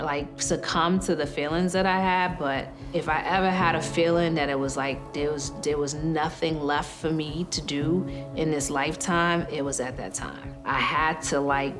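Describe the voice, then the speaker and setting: A woman from Queens, New York, speaking earnestly and candidly in a documentary interview. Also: low-pitched. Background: music.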